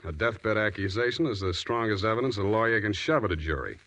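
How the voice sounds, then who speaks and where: An American actor playing a cynical, hard-boiled private detective in 1940s radio drama, with a dry, sardonic, clipped delivery. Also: male voice, lowish voice, lively intonation, fast, fairly bright tone. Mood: dry, skeptical. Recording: noisy old 1940s radio broadcast.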